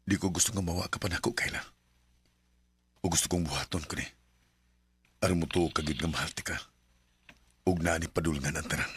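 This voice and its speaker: A man's voice: low voice, fairly even intonation, fairly quick, long pauses, breathy edge, no room sound, full character voice. A man voicing a devoted young man in a radio drama, earnest and firm with his family. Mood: tender. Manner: earnest, soft-spoken in love scenes.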